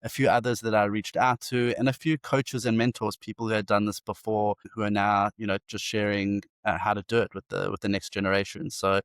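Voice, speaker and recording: A South African man in his forties speaks as an interview guest, and his voice sits low. He tells his stories eagerly, restarting phrases as he goes. The sound is dry and close, with no room around it. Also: flat delivery, no echo. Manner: enthusiastic, fast storytelling with many restarts. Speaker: agency founder and CEO, guest. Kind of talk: casual interview talk.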